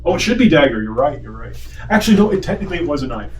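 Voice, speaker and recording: A man's voice: on the high side, very animated, rapid-fire. A man chatting casually and explaining things as he runs a board game, picked up by a distant room microphone. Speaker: game designer running the demonstration. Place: roomy indoor space at a university. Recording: echoey, distant, noisy room recording.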